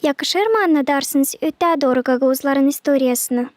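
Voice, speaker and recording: High-voiced inquisitive cartoon girl played by a woman. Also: normal speed, no echo, lightly acted. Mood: curious. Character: young girl questioning her mother in a cartoon. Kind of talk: animated cartoon dialogue.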